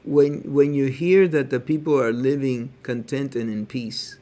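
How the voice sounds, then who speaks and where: Male podcast co-host from Cuba, mid pitch, earnest and opinionated, hedges his claims. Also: fairly even intonation, unhurried, mellow. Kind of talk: casual podcast chat.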